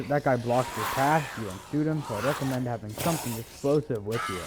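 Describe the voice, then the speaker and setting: A man's voice: medium pitch, noisy background. A man giving casual video game walkthrough commentary, with hesitant, wry, self-deprecating asides.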